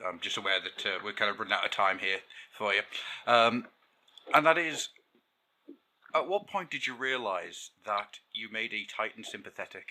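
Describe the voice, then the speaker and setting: A man's voice: lowish voice, expressive, normal speed, sharp tone, slight background noise, rough recording. A man hosting a podcast, asking questions in a friendly, eager way with hesitant starts. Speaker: podcast host. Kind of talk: casual interview chat.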